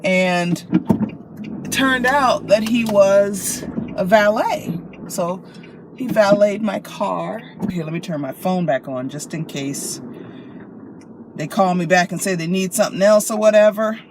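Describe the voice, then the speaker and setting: An American woman vlogs casually from inside a car, with clear vehicle noise around her. Her voice sits at an average pitch, and she tells stories in a playful, expressive, animated way. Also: measured pace. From Chicago, United States. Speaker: vlogger and actress. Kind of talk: casual chatty vlog.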